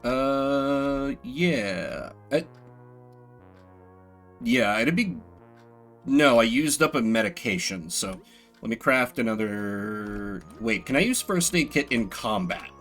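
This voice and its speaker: A man's voice: mid-range voice, animated, takes it slowly, a bit bright, faint music behind. A man doing solo video game commentary, reading game text aloud dramatically and adding sardonic, rambling asides. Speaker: game streamer.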